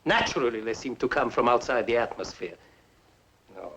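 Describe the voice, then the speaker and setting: An actor playing a bitter fugitive inventor who taunts theatrically, in a 1950s film style. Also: male voice, mid-range voice, animated, fairly bright tone. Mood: gloating. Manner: sardonic, theatrical, drawn-out taunting. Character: bitter, gloating fugitive inventor, a mocking villain. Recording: muffled, noisy 1950s film soundtrack.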